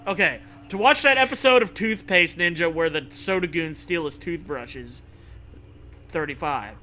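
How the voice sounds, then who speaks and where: A man reading a comic storybook aloud with animated, profane casual banter. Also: on the high side, normal speed, a little hiss or hum. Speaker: reader of a comic choose-your-own-adventure book.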